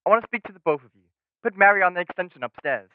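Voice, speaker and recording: A teenage student actor playing a brash, pushy businessman making a pitch over the telephone in a radio drama. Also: expressive, rapid-fire, clear, resonant tone, no echo. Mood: eager, pushy. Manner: pushy, emphatic. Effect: telephone filter. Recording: tinny dry phone-line sound.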